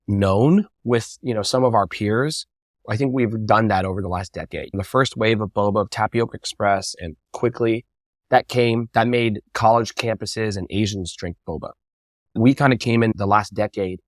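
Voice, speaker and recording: A man being interviewed, telling casual anecdotes and acting out quotes with animated energy. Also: lowish voice, moderate pace, dry recording, studio quality. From United States. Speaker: interview guest, bubble tea entrepreneur.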